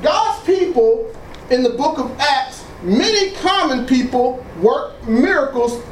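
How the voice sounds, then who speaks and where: Booming high-voiced pastor in an echoey hall. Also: male voice, expressive, slow. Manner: exclaims praise phrases, leads the congregation in repetition. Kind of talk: sermon with call-and-response. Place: church hall. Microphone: mic switched off, picked up from a distance. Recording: echoey room from far off, faint noise.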